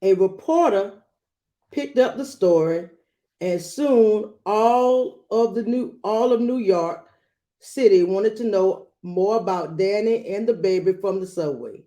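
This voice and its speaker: A woman reading a children's picture book aloud, adding gentle explanatory asides for young listeners. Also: mid-range voice, slow pace. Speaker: read-aloud storyteller.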